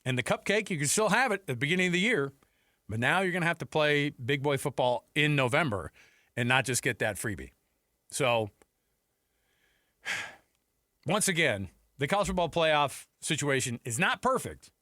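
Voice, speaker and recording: A man's voice: fairly high pitch, expressive, normal speed, long pauses, sharp tone, dry recording. An American man hosting sports radio, talking casually and conversationally on a close studio mic, with rhetorical questions and asides. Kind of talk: casual sports talk commentary. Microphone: close studio headset mic.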